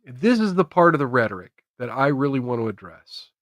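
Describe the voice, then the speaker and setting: A man's voice: mid-range voice, very animated, brisk, no room sound. An American man hosting a political commentary stream, speaking emphatically and repeating phrases for effect. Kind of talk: opinionated political commentary to camera.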